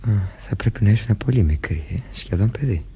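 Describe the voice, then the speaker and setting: Radio mystery play: jittery young man, childlike and plaintive, very husky, mellow tone, hesitant delivery. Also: fairly low pitch, animated, exaggerated voice. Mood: hesitant, gentle. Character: Christopher, nervous childlike young man suspected of murder. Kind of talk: Greek radio theatre acting.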